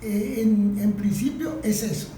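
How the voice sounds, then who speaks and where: Slow, halting Venezuelan woman, very echoey. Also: medium pitch, mellow. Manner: halting, searching for words. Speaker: interview guest, industrial worker. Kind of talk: spontaneous panel discussion. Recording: very echoey room, faint noise.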